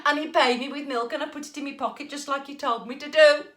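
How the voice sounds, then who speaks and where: A woman voicing Jack, an excitable, foolish boy who shouts eagerly in a high voice, recorded in an echoey room. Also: a little fast. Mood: proud.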